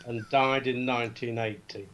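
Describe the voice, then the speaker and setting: A man reading out quiz questions in a steady, matter-of-fact way on a casual live show. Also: medium pitch, normal speed, low-quality recording. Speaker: quiz guest.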